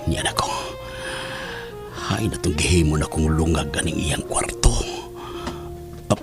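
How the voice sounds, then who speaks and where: A man's voice: extremely low pitch, unhurried, creaky, heavily acted. A man playing a sneaky, furtive husband in a horror audio drama, muttering breathily with moans and gasps. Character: sneaky, furtive husband creeping from his wife's bed. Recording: loud music over the voice.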